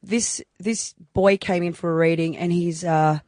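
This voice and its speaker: A woman raised in Australia, a guest on a radio show, telling animated stories in casual chat. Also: lowish voice, measured pace, no room sound, studio quality. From born in Croatia, raised in Newcastle, Australia. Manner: chatty, animated storyteller.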